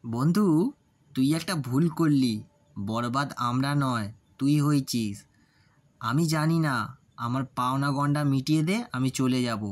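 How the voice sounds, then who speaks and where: A man's voice: medium pitch, measured pace, natural voice. A man playing a greedy, two-faced, suspicious business partner in a cartoon story. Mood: cold, gloating. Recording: music under the voice.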